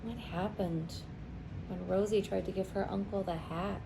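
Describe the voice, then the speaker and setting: A woman reading a picture book aloud to young children as a teacher, expressive and pausing to ask them questions. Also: mid-range voice, creaky, background noise.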